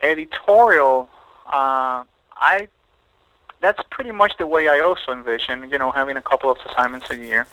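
A middle-aged man from Puerto Rico speaks with a crisp, bright tone. He is a photographer talking in a podcast interview. He works through his thoughts slowly, full of restarts and fillers. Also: medium pitch, no room sound, muffled recording. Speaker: photographer guest.

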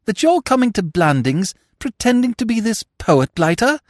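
A man voicing a dim, excitable young upper-class English gentleman in an audiobook, anxious and eager, full of flustered exclamations and old-fashioned posh slang. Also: high voice, moderate pace, no room sound, studio quality, voice pushed up. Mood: baffled, incredulous. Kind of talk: audiobook character voice.

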